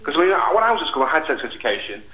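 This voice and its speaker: A young man calling a phone-in, giving an earnest, halting personal account. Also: on the high side, sing-song, pushed and bright, echoey room, low-quality recording. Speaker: phone-in caller. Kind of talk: personal account on a live call.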